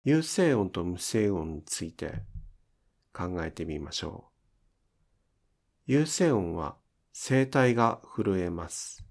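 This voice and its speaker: Slow, low-voiced male language teacher. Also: big pitch swings. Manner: careful, step-by-step enunciation. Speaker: Japanese pronunciation teacher. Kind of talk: scripted instructional narration.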